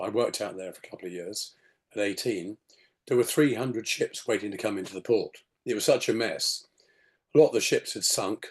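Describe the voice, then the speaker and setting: Gravelly low-voiced man in his sixties. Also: a little mellow. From England. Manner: rambling, lots of "you know" and restarts. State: hoarse after a detox. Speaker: podcast guest. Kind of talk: casual storytelling interview. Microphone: video call.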